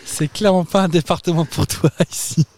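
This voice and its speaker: A man's voice: on the high side, steady tone, a little fast, a little mellow. A man co-hosting a podcast outdoors on a town square, chatting casually in a playful, teasing way and laughing often. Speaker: podcast co-host. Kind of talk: casual chat.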